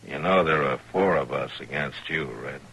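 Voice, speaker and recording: An actor playing a stern, watchful, terse frontier marshal in a 1950s western radio drama. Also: male voice, rumbling low, normal speed, vocal fry, sharp tone, heavily acted, poor audio. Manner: terse, hard-boiled. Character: stern, watchful male US marshal of Dodge City. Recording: old radio recording, faint noise.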